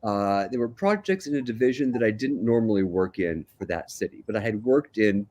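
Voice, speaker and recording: An older man, a calm and relaxed guest, telling his life story in a casual interview. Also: on the low side, brisk.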